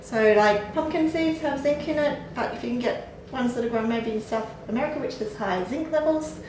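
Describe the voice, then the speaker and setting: Woman, slightly high voice, chatty and explanatory, recorded in an echoey room from a distance. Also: fairly quick, a little mellow, a little hiss or hum. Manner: chatty, explanatory, with frequent fillers and light laughs. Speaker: clinic staff giving supplement advice. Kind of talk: casual health chat.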